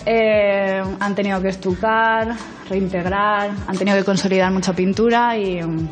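A woman's voice: medium pitch, steady tone, moderate pace, faint music behind. A woman art restorer explaining her work informally in an interview.

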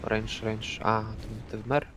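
Low-voiced man calling raid orders. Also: completely flat delivery, noisy background. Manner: brisk commanding calls, swears casually. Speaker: raid leader. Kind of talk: calling raid instructions over voice chat.

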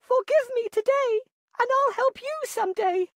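A performer voicing a tiny, meek, polite female mouse in a children's fable, squeaky, earnest and pleading. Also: normal speed. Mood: apologetic pleading.

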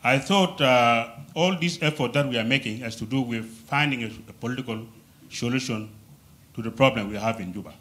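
A man from South Sudan stands in the audience to ask a panel a question. His delivery is formal and deliberate, with pauses between phrases. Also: mid-range voice, fairly bright tone. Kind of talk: public question at a forum.

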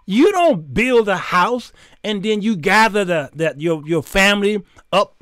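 A high-voiced American man in his fifties talks straight to his audience as a show host. His delivery is informal, opinionated and preacherly, leaning on emphasis and rhetorical questions. The sound is dry, spoken close into the microphone. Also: animated, measured pace, a bit bright, no room sound. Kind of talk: informal opinionated monologue to camera. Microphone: close condenser microphone on boom arm.

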